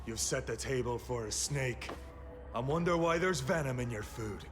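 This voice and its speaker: A man's voice: medium pitch, animated, normal speed, soft background music, close to an everyday voice. A man playing a suspicious, pious, folksy vampire hunter in a video game, talking in threats. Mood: menacing, accusing. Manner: threatening, speaks in hunting metaphors. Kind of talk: video game dramatic acting.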